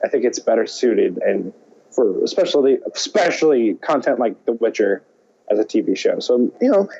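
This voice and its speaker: A man's voice: mid-range voice, very animated, normal speed, gravelly, dark tone, a little hiss or hum. A man chatting casually as a podcast co-host, rambling and often restarting his sentences. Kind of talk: casual chat. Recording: dull lo-fi audio.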